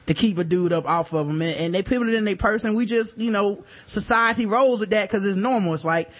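A man's voice: high voice, fairly quick. A man hosting a podcast, telling stories in loose, profane, laughing banter. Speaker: podcast host.

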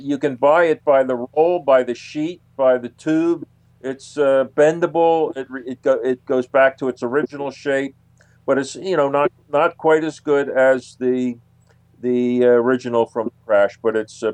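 A man answering as an interview guest, conversational and halting, repeating phrases for emphasis. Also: medium pitch, steady tone, a little mellow, no room sound.

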